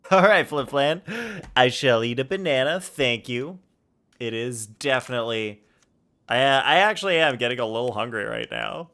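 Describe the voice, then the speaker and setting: A man's voice: medium pitch, animated, moderate pace, bright, forceful tone, clear, resonant tone. A young man streaming a game, chatting casually and playfully with viewers and joking as he reacts. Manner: playful, jokey, reads chat aloud.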